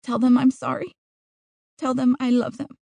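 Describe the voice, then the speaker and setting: A frightened, apologetic young girl, acted out by a woman narrator in an audiobook. Her voice sits high and soft-edged, and she rambles like a child anxious to explain herself. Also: little pitch movement, moderate pace, creaky, no room sound. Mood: pleading, scared.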